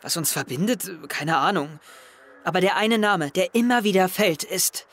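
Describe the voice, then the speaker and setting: An actor playing an earnest, resolute teenage schoolboy in an audio drama about hunting a hacker. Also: male voice, high-pitched, animated, normal speed, fairly bright tone.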